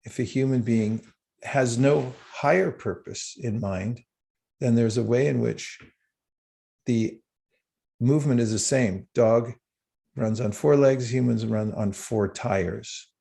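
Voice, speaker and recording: A man giving a livestreamed spiritual talk with slides, unhurried and halting, with small chuckles. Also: medium pitch, vocal fry, dark tone. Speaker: spiritual teacher.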